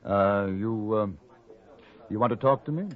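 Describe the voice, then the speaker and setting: A man's voice: on the low side, a little slow, close to an everyday voice. An actor with an English accent playing a courteous, dryly understated English newspaperman in a 1950s radio western. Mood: dry, unruffled. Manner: polite, understated, dry. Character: composed, courteous English newspaperman gunfighter in the Old West. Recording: tinny old radio recording with music.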